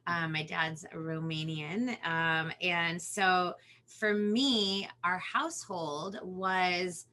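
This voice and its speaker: A woman's voice: on the low side, very slow, bright, forceful tone. A young woman from Los Angeles with a Valley Girl accent, a bubbly guest who laughs often and says "like" a lot. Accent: Californian Valley Girl. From Los Angeles, California. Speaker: children's singer, guest. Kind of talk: casual interview chat.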